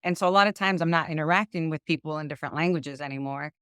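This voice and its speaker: A young woman from Chicago talks easily as a guest on an interview show. She keeps her speech loose and relaxed, with frequent "um" and "like" fillers. Her voice is smooth and clear, in a dry, close recording. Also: sits low, fast talker, no echo. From Chicago, United States. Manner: chatty, full of "um" and "like" fillers. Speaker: guest, lawyer. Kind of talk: casual interview conversation.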